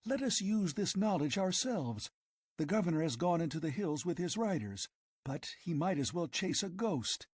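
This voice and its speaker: A man's voice: a little high, sing-song, moderate pace, breathy edge, dry recording, studio quality, pitched above the performer's own voice. A man reading an audiobook, voicing a fierce, passionate young woman who pleads urgently and breathlessly. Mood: passionate urging. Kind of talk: audiobook character voice.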